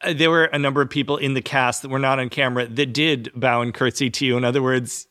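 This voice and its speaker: Bright-voiced male interviewer. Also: medium pitch, steady tone, fast. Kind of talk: attentive interview conversation.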